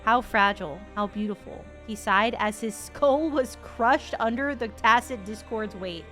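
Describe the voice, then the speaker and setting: A woman's voice: fairly high pitch, measured pace, pushed and bright, faint music behind. A woman voicing a grave, tender narrator, reading game text aloud in a theatrical, lingering way. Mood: grave, wistful. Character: solemn storybook narrator of fallen warriors, grave and tender.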